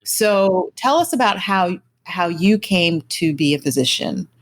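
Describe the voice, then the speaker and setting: A woman hosting an interview podcast, bubbly and animated, who laughs easily with her guest. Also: fairly low pitch, measured pace. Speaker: podcast host. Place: New York.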